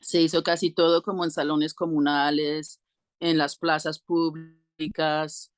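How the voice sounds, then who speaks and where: A middle-aged woman explaining things conversationally as an interview guest, halting and often clearing her throat. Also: sits low, level tone, no echo. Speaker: interview guest, co-founder of an alternative school.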